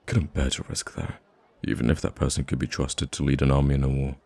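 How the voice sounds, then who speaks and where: Man acting a very deep, gravelly, gentle prince. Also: steady tone, heavily acted. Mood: brooding. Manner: hesitant, gentle, halting with sighs and stammers. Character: soft-spoken wounded young prince, wistful, gracious, secretly roaming a market. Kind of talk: ASMR roleplay acting.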